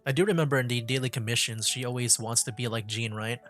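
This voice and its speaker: A man's voice: mid-range voice, steady tone, fast, a bit bright, soft background music. A man giving casual gameplay commentary as a streamer, thinking aloud in a hesitant way. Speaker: game streamer, virtual YouTuber.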